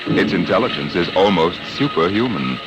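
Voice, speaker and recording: A man acting as a portentous, deliberate narrator of science fiction tales in the style of 1950s radio drama. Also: sits low, animated, heavy background noise. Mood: portentous, measured. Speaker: announcer and narrator. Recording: old radio broadcast recording. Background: music.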